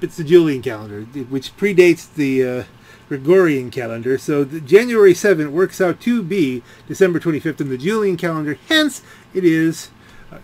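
A man giving casual, rambling gameplay commentary, halting as he thinks aloud. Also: a little high. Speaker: gaming video creator.

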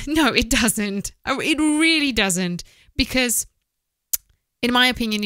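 Female presenter, thirties, crisp and bright, chatty and frank podcast-style delivery on a close mic. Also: mid-range voice, no echo. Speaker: voice-over producer giving advice. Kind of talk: informal advice to camera, podcast style. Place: small indoor office. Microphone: close studio microphone with foam windscreen, headphones.

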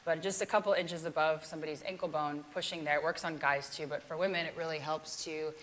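Low-voiced woman giving a chatty talk. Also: steady tone, quick pace, a bit bright, a little hiss or hum. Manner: chatty, self-deprecating, laughs often. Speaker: yoga teacher giving a keynote. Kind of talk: casual presentation with demonstration.

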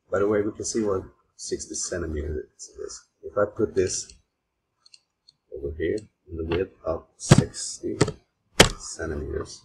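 Very deep-voiced Swedish man, halting. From Sweden. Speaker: tutorial host. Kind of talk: informal software tutorial. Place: voice-over recorded at an old computer. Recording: steady clear background noise, mouse clicks.

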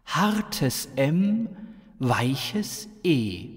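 A man's voice: on the high side, big pitch swings, very slow, long reverb tail, exaggerated voice. A man voicing a pedantic, oddly literal alien with stilted, over-enunciated speech for an audio story. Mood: pedantic, earnest.

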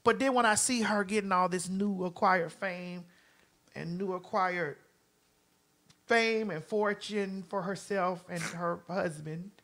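A woman in her forties hosting a casual gossip talk show, with a playful, teasing and animated manner. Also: fairly low pitch, takes it slowly. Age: 40s.

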